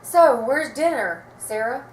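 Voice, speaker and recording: A woman's voice: sits high, lively intonation, slow pace, pitched above the performer's own voice. A woman voicing a hungry, emphatic father in a storybook, declaiming his lines. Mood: stern. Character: hungry father, emphatic, exuberant about food. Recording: roomy, distant mic, faint noise.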